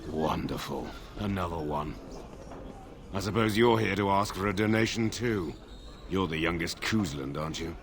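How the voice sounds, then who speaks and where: Man acting aging game nobleman, very deep bass, bitter, weary, gruff, dismissive, faint background noise. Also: normal speed, close to an everyday voice. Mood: scornful. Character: bitter, weary older nobleman who lost his sons. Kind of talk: video game dialogue acting.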